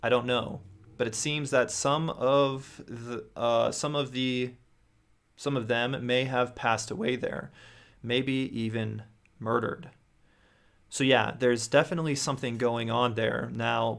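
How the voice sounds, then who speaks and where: Gravelly-voiced young man reading stories. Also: medium pitch. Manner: reads aloud with repeated restarts and reactions. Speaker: host reading viewer stories. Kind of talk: livestream reading viewer stories aloud. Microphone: studio mic on boom near face.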